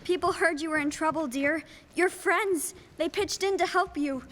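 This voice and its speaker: A woman's voice: very high voice, normal speed, a bit bright. A woman playing a kindly, earnest female character in a stage radio play. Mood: excited. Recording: reverberant hall, faint noise.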